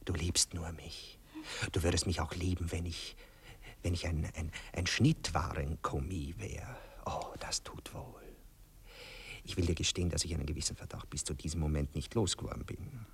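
An actor playing a vain, rapturous poet wooing his lover in an Austrian accent, theatrical and breathy, in a 1960s radio play. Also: low voice, normal speed, whispered, heavily acted. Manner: theatrical, breathy murmurs, swooning declamation.

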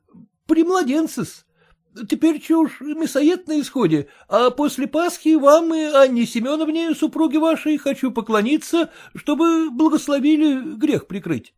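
A man's voice: very high-pitched, measured pace, darkish tone, pitched above the performer's own voice. A man voicing a young male shop clerk who is humble and obsequious, in a story reading. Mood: fawning. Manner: obsequious, adds polite -s to words. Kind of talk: audiobook character reading.

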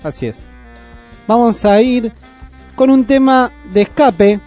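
A man's voice: extremely high pitch, takes it slowly, a little mellow, soft background music. A man with a Buenos Aires area accent chatting casually as a radio co-host in a studio. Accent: Argentine, Buenos Aires area.

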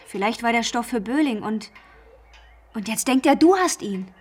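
A woman's voice: a little high, lively intonation, normal speed, lightly acted. An actress playing a hard-pressed young waitress and mother in a German radio crime drama, direct and down-to-earth. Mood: suspicious. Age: young adult.

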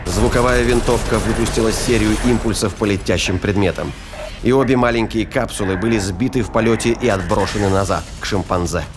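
Low-voiced male audio drama narrator. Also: fairly quick. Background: music and sound effects.

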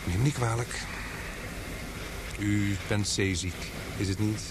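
An actor in a Dutch radio drama playing an earnest, easily flustered male journalist who talks politely but hesitantly. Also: takes it slowly. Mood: courteous, coaxing. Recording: noisy, engine-like rumble behind.

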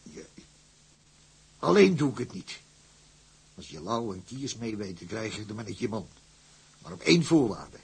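Very husky, gravelly shipping boss. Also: male voice, on the low side, big pitch swings, moderate pace, long pauses, darkish tone, full character voice. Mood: reluctant. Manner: cautious, warning, plain-spoken. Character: gruff, wary, hard-schooled tugboat company director. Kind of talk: Dutch audio drama acting.

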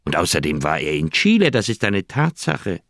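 Radio crime drama: evasive old doctor, low voice with wide swings, hesitant and pleading. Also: male voice, big pitch swings, moderate pace, vocal fry, a bit bright, dry recording, studio quality, exaggerated voice. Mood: reluctant, pleading. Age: older. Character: uneasy, evasive, well-meaning old male doctor.

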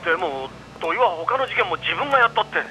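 A bright, eager young detective. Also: male voice, high voice, sing-song, faint music behind, full character voice, rough recording. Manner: casual, eager and quick. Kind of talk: studio radio drama.

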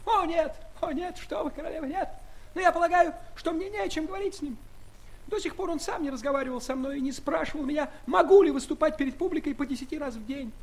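An actor playing a tiny, proud and eloquent human traveller with a florid, earnest, courtly manner in a children's audio play. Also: male voice, extremely high pitch, fast talker. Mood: indignant pride.

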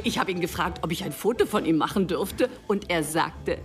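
An actress playing a wealthy, chatty society wife, breezy and boastful, in a naturalistic radio drama. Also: female voice, fairly low pitch, animated, normal speed. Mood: delighted, boastful.

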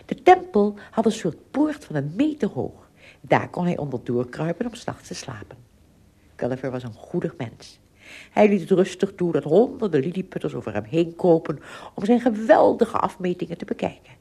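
A woman with a slightly husky voice, narrating a story in a dramatic storytelling style. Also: fairly low pitch, big pitch swings, moderate pace, dark tone.

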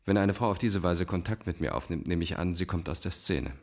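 An actor playing a controlled, manipulative psychiatrist, probing and commanding, in a radio thriller. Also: male voice, sits low, brisk, a little mellow. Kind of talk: German radio thriller acting.

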